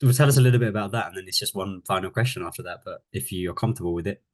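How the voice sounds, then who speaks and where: Reflective man hosting, lowish voice. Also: very fast. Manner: reflective, asks gentle questions. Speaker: interview host, coach. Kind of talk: podcast interview.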